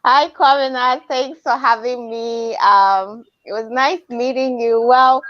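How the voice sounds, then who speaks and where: A woman in her thirties with a Ghanaian accent, a guest speaking calmly and softly over a video call. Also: on the high side, slow pace, dry recording. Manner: calm, soft-spoken, light laughter. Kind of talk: casual video interview. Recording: dry, dull video call audio.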